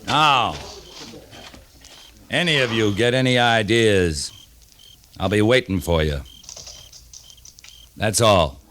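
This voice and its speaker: An actor playing a stern, principled Old West cavalry captain in a radio western, speaking in clipped, commanding military style. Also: male voice, lowish voice, slow, long pauses, fairly bright tone. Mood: stern reproof. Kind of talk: 1950s radio western acting. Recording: old radio drama recording, faint hiss.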